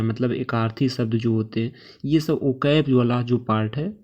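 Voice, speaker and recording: Man teaching in a flat tone. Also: medium pitch. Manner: repeatedly checks in with short tag phrases, mixes in English terms. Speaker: online exam-prep teacher. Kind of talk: informal instructional lecture, reading through lists. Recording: dull, muffled lo-fi voice track.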